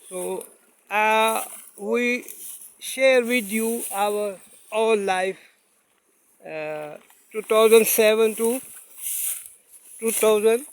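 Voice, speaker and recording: A man whose first language is Urdu, vlogging outdoors by a mountain stream in halting, simple English with many fillers, filmed on a phone without a separate mic. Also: high-pitched, no echo. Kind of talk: casual vlog narration. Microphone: phone camera, no separate mic.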